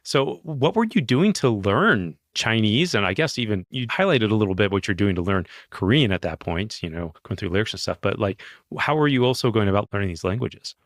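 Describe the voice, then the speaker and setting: A man hosting a podcast, asking relaxed follow-up questions and giving friendly short replies. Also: on the low side, fairly quick, hardly any pauses, dry recording, studio quality. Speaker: podcast host. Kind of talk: casual interview chat.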